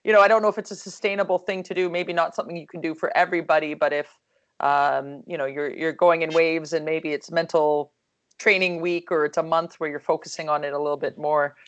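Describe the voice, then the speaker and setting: A woman hockey coach chatting casually on a video call, with a calm, soothing voice and lots of fillers and restarts. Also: low-pitched, fairly quick.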